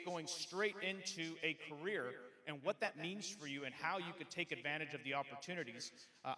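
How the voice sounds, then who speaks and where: A middle-aged man addresses students in a school auditorium, his bright voice ringing off the room. He keeps it conversational, tossing in "right?" as he goes. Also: on the high side. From Houston, Texas. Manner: conversational, frequent "right?" asides. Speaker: guest speaker. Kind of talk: speech to graduating students. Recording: echoey hall.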